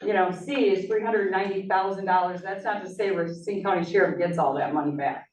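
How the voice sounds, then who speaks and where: A woman in law enforcement speaking at a public meeting, brisk and direct, often checking in with the audience. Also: medium pitch, steady tone. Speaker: law enforcement official presenting. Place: large town meeting hall. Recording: echoey meeting hall, far mic, dull.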